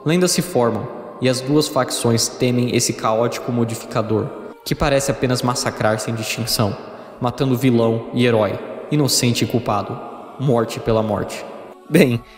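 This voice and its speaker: A man's voice: medium pitch, normal speed, husky. A man with a Brazilian accent giving scripted narration for a video essay.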